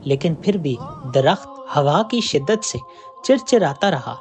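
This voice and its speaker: An expressive storyteller narrates a scripted moral tale with a lively, moving melody. The pitch sits in a middle range, and music plays softly behind the voice. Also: measured pace. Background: background music.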